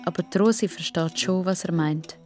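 A woman with a Swiss German accent narrating a children's story in simple, deliberate phrases. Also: fairly low pitch, a little mellow, soft background music.

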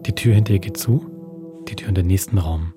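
A man's voice: sits low, a little fast. A man hosting a podcast, giving a scripted, atmospheric introduction. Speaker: podcast host. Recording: music under the voice.

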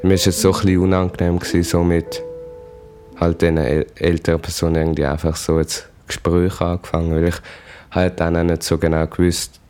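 A teenage boy with a Swiss German accent, talking casually in a halting, unassuming way. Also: sits low, completely flat delivery, darkish tone, clear, resonant tone, soft background music. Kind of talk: casual interview recollection.

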